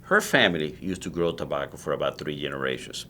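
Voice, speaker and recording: Low-voiced Cuban-accented man. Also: quick pace, a little hiss or hum. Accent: Cuban Spanish. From Cuba. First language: Spanish. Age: 40s. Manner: animated storyteller with frequent restarts. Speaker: guest, cigar maker. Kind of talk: casual interview.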